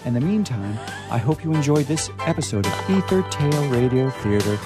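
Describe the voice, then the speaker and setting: A man's voice: mid-range voice, expressive, normal speed, over music. A middle-aged man hosting a radio theater show, telling stories casually and theatrically, repeating phrases for emphasis. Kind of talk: casual chat and storytelling.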